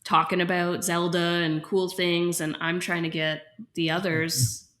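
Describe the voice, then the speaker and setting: A woman's voice: on the low side, little pitch movement, a little slow, fairly bright tone. A woman playing a concerned, slightly overwhelmed special education teacher, talking candidly and hesitantly in naturalistic dialogue. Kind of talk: naturalistic acted interview dialogue.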